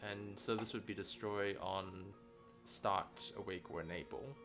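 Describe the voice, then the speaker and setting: A man learning software in a casual walkthrough, tentatively thinking aloud and checking his understanding. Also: fairly low pitch, steady tone, unhurried, music in the background.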